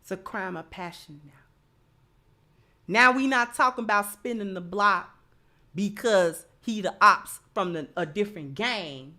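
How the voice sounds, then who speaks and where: A woman's voice: mid-range voice, measured pace, pushed and bright. A woman giving opinionated live commentary as a host, emphatic, repeating phrases and posing rhetorical questions. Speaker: livestream host.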